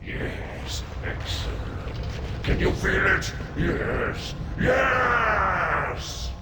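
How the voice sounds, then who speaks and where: A man's voice: high voice, sing-song, drawn-out words, raised voice, full character voice. An actor playing an imprisoned demon lord, a fiery, gloating father figure in a video game, grandiose and savoring each word. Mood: exultant. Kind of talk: dramatic video game acting. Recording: echoing voice in noisy ambience.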